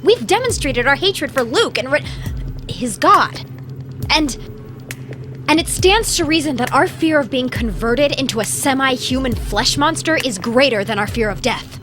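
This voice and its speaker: A woman playing an earnest, insistent survivor in an audio drama, speaking in a halting way. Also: high voice, lively intonation, a bit bright, faint music behind. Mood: defiant reasoning.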